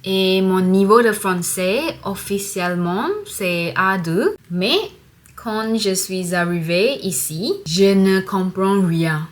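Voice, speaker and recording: A young woman from Singapore vlogging in careful, slightly halting French that is not her first language. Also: mid-range voice. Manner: slow, careful learner's French with pauses. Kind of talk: casual vlog to camera.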